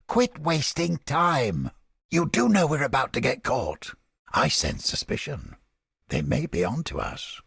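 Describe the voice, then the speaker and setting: Haughty old game scholar, gravelly rasp, slow measured delivery, pompous, irritable, cynical. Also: male voice, mid-range voice, a bit bright, no echo, studio quality, exaggerated voice. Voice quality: raspy, gravelly. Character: cynical, haughty old heretic scholar with mind-control powers. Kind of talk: dramatic video game voice acting.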